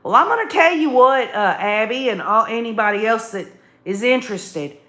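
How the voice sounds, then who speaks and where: A woman's voice: a little high, animated, moderate pace, fairly bright tone. A woman hosting an opinionated live commentary, speaking emphatically and repeating phrases as she addresses listeners in a folksy, direct way. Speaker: livestream host commentator. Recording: echoey room sound.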